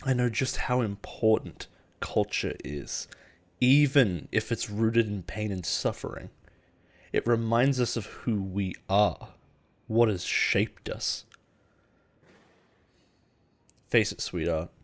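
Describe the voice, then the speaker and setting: A man playing a possessive, persuasive boss in an intimate audio roleplay, speaking in a measured, earnest way with deliberate pauses. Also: fairly low pitch, lively intonation. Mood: intense, pleading. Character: possessive, persuasive male CEO boss, smooth and protective. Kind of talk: intimate dramatic roleplay monologue.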